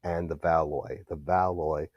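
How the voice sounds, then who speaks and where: A man's voice: rumbling low, fairly even intonation, measured pace, a little mellow, slightly rough, no room sound, dull audio. A male history teacher giving a recorded lecture, explaining steadily with some fillers.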